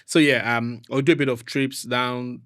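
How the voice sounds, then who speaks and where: Brief: Nigerian-accented man, podcast guest, quick talker, laughs easily, middle-pitched voice. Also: clear, resonant tone. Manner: fast-talking, laughs often, animated storytelling. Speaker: real estate professional, podcast guest. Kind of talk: casual podcast chat.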